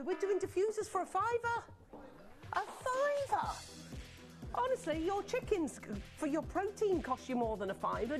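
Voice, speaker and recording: A woman presenting live on a TV shopping channel, chatty and excitable, rattling off buyer names and sounding a little sniffly from a cold. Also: high voice. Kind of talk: live on-air sales pitch. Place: TV studio.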